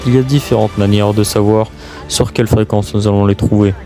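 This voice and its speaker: Halting man narrating over music. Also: lowish voice, steady tone, warm tone. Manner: halting reading with stumbles. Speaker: presenter. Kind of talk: scripted documentary narration.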